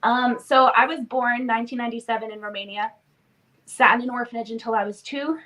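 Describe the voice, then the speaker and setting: A woman's voice: a little high, fairly even intonation, fairly quick, sharp tone, poor audio. A woman, sniffly from a cold, telling her story earnestly as a guest on a live video interview. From Canada. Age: 20s. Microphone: video call.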